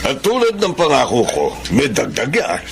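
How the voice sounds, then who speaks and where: An actor playing a jovial, generous, hard-drinking company boss in a Filipino radio drama, with a hearty, conspiratorial manner. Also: male voice, medium pitch, huge pitch swings, moderate pace, vocal fry, a little hiss or hum, heavily acted. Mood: jovial, pleased. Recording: studio radio drama over loud music.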